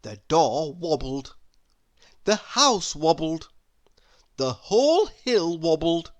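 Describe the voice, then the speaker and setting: A storybook narrator reading a children's tale aloud in an animated, expressive way. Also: medium pitch, slow. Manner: animated, expressive, with emphatic sound words. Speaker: storybook reader.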